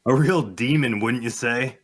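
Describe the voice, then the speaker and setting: The crude, boastful sidekick butting into the hero's tale in a fantasy audio drama, mid-pitched and swaggering as a man plays him. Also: a little slow, lightly acted. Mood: smug. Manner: blunt, foul-mouthed, boastful. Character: crude, swaggering male adventurer, gleeful sidekick. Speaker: actor at a table read.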